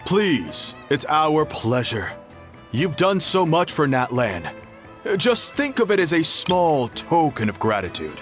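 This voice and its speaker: Upbeat male guardian, animated and warm-spoken, faint music behind. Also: a little high, slow pace. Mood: friendly. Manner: warm, grateful, lively storytelling. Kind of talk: game voice acting. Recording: game audio with faint music.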